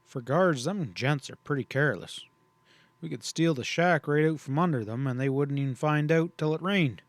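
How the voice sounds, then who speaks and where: A man's voice: on the high side, moderate pace, dry recording, lightly acted. A man voicing a wry drawling Texas Ranger. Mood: plotting. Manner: folksy drawl, cool and wry.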